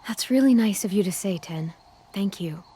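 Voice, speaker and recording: A woman playing a tough, guarded teenage girl survivor in a video game, with measured, understated delivery. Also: mid-range voice, lively intonation, dark tone, husky. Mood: gentle, reflective. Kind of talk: naturalistic video game voice acting.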